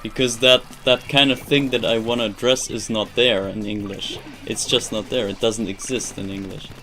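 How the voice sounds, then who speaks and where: A man's voice: fairly low pitch, fairly even intonation, normal speed, fairly bright tone, soft background music. A young man whose first language is German, chatting casually on a live stream in English, rambling and searching for words with many restarts. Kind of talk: casual live-stream chat while gaming.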